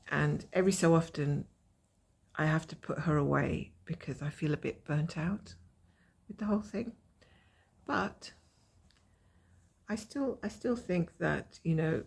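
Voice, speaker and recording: A woman with a croaky voice from a cold, chatting casually and rambling as she shows her cross-stitch progress from home. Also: sits low, darkish tone. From Scotland. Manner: rambling, chatty, self-mocking.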